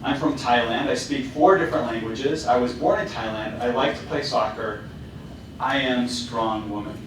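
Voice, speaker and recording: A man's voice: mid-range voice, normal speed, a little hiss or hum. Founder talk: a man with a mid-range voice speaking casually, heavy echo, recorded from far away. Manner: casual, with many fillers and restarts. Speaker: company founder giving a talk. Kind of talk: presentation to students. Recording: very echoey hall, voice from afar.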